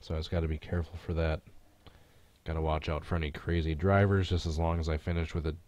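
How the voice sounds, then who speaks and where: A man's voice: extremely low pitch, flat delivery, fast, a little mellow, highs cut off. A man with a stuffy, congested voice chatting offhandedly while live streaming a racing game. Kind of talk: casual live stream chat and game commentary.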